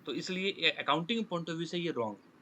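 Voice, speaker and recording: An Indian man with a bright, slightly high voice teaches an online class. He explains through examples, repeating himself and dropping in English technical terms. There is some background noise on the recording. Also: lively intonation, fast. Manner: repeats and rephrases points, checks understanding with tag questions. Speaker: online course instructor. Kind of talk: live lesson explaining with examples. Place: online class with screen sharing.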